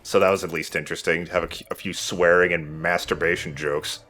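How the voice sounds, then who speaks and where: A man's voice: deep voice, fairly even intonation, brisk, pushed and bright, a little hiss or hum. A man giving casual commentary while he plays a video game, speaking as the host of a let's play. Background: game music.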